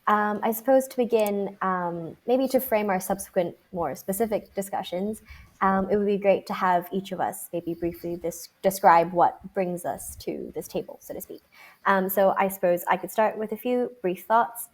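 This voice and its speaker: A woman's voice: mid-range voice, moderate pace. Reflective young woman moderator. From Singapore. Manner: reflective, frequent um fillers. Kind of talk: panel discussion. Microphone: video call.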